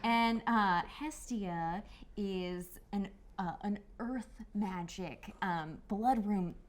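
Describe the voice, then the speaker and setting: A woman's voice: mid-range voice, drawn-out words, slight background noise. A woman player casually describing her character at a tabletop roleplaying game.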